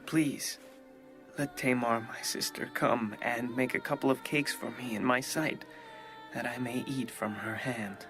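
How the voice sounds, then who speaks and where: Lovesick young prince played by a man, soft music. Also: medium pitch, fairly even intonation, unhurried, natural voice. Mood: pleading. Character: lovesick scheming young prince feigning illness. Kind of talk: dramatized audio Bible acting.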